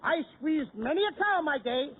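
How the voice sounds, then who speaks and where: Squeaky high-voiced wisecracking radio comic. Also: male voice, lively intonation, moderate pace. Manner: brash wisecracking, sudden shouts. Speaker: comedian. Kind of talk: 1940s radio comedy. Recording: noisy old radio broadcast, narrow band.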